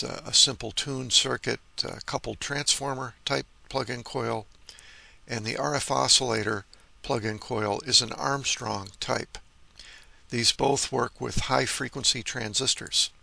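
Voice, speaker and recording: Gravelly-voiced man explaining electronics. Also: medium pitch, sharp tone, dry recording. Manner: explanatory, methodical. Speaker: vintage radio hobbyist presenter. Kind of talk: informal technical walkthrough.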